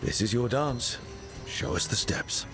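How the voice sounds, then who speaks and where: An actor playing a supportive, reassuring leader of an adventuring team in a video game. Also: male voice, medium pitch, a little slow, lightly acted. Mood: curious, wry. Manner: reassuring, encouraging. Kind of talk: video game cutscene acting. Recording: music behind the voice.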